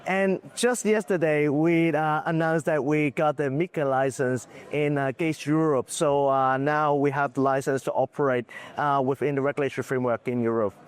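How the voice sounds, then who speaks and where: Slightly hoarse man, chatty executive. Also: fairly high pitch, steady tone, normal speed, few pauses. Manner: candid, loose, full of "uh" and "like". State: slightly hoarse, losing his voice. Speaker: executive interviewed as guest. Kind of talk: conference booth interview. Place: conference booth.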